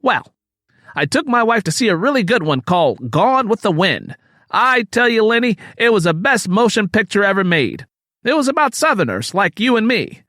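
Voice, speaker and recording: A man doing a high, condescending old Southern storekeeper. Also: very animated, normal speed, bright, forceful tone, dry recording, studio quality, full character voice. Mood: condescending, falsely cordial. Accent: American South. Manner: patronizing, domineering, emphatic.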